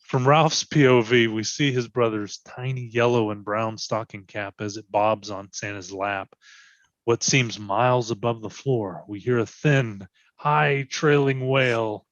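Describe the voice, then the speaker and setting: A man narrating scripted stage directions for a readers theatre piece in a measured, descriptive way. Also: mid-range voice, dry recording, highs cut off.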